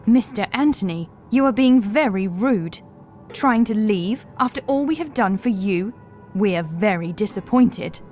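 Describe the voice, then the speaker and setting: A woman's voice: mid-range voice, expressive, measured pace, a little mellow, soft background music, close to an everyday voice. A woman playing a deranged housekeeper in a horror audiobook, formal and scolding, with wrath under the politeness. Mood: chiding, menacing. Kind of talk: horror audiobook character acting.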